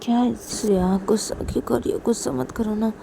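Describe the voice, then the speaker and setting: Woman doing sheepish young guy going "kya hai", coaxing, soft mellow voice. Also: a little high, fairly even intonation, brisk, gravelly, slight background noise. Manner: coaxing, defensive. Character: sheepish young man, the sister's husband.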